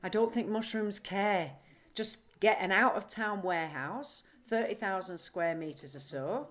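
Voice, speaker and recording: An actress playing an impulsive, scattered and stubborn woman in an audio drama, deadpan and earnest. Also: medium pitch, unhurried, close to an everyday voice. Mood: earnest, airy. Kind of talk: naturalistic audio drama acting.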